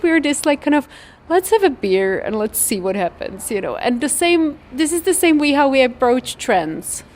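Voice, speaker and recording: A woman's voice: a little high, darkish tone. A woman with a Czech accent explains things in a casual, chatty interview style, peppering her talk with "like" and "you know". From Czech Republic. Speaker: interview guest, trend forecaster.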